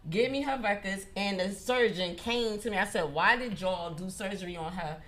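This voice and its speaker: A woman's voice: medium pitch, moderate pace, fairly bright tone. A woman hosting a podcast, telling emphatic stories in casual chat. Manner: emphatic storyteller, laughs often. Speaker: podcast host.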